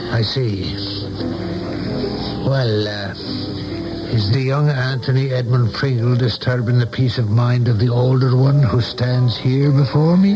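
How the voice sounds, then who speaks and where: An actor in a 1970s radio play, playing an older saloon keeper who is folksy, shrewd and philosophical with a loyal friend. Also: male voice, medium pitch, fairly even intonation, a little slow, close to an everyday voice. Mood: folksy, probing. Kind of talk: 1970s radio drama acting. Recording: dull vintage radio broadcast, music behind.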